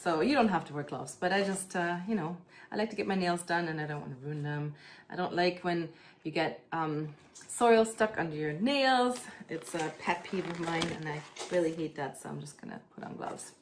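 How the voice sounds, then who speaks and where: A woman's voice: on the low side, lively intonation, moderate pace, slight background noise. A woman from Germany with a German accent, chatting casually about her plants as she works on them at home, with lots of ums and "kinda". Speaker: plant care vlogger. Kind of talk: casual chat while doing plant chores.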